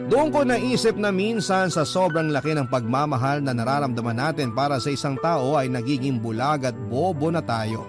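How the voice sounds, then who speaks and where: A man's voice: mid-range voice, natural voice. An actor playing a heartbroken, devoted man who narrates his confession in a reflective, sorrowful way for a radio drama. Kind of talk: first-person letter narration in radio drama. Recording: prominent music bed under the voice.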